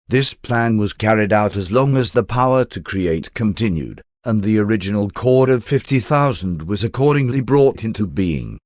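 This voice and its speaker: A man reading a religious text aloud as formal, measured audiobook-style narration. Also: on the low side, lively intonation, darkish tone, no echo, studio quality.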